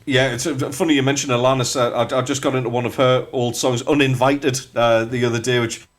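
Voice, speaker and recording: A man's voice: mid-range voice, steady tone, brisk. A man in his forties hosting a radio show, chatting casually with playful, mock-indignant banter. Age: 40s. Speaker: radio host.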